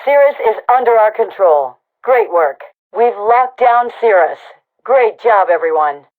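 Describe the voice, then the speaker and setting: A woman's voice: medium pitch, expressive, unhurried. Tinny radio-filtered female military commander. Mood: satisfied, proud. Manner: clipped, authoritative military delivery. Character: brisk female military commander of a rebel army. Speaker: overseer giving battle announcements. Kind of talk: scripted video game announcer voice lines. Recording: tinny, band-limited radio comms sound.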